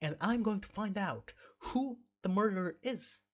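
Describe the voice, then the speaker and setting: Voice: mid-range voice, expressive, unhurried. Mock-stern game streamer, sex unclear, muffled lo-fi sound. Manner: mock-stern, emphatic, repeats phrases. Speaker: video game streamer and host. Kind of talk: rambling let's play commentary.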